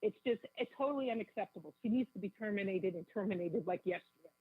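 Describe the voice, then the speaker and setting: A woman calling in to a radio show, raspy from a bad cold, speaking emphatically and indignantly. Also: mid-range voice, quick pace, no room sound. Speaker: phone-in guest. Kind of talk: live radio call-in advocacy. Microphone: telephone. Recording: tinny, narrow phone line.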